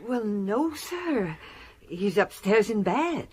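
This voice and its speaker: A woman's voice: medium pitch, big pitch swings, takes it slowly, a little mellow. A woman acting in a radio drama as a fond, deferential housekeeper at a country house. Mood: worried.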